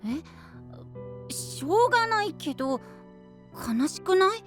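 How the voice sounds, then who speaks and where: 明るく可愛らしい少年を演じる男性声優。甘く弾むような少年らしい話し方。. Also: male voice, very high voice, sing-song, slow pace, fairly bright tone, faint music behind, full character voice. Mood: sad. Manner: bubbly, sweet, boyish. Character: cute cheerful young boy, playful companion. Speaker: voice actor. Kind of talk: anime voice drama.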